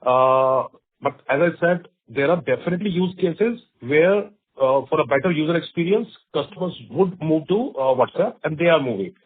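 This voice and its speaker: A man with an Indian accent and a husky voice, an executive explaining on an earnings call and often checking with short tags. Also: a little high, lively intonation, moderate pace. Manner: explanatory, checks with right and okay. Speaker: company executive. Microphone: conference call line. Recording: thin phone-line sound.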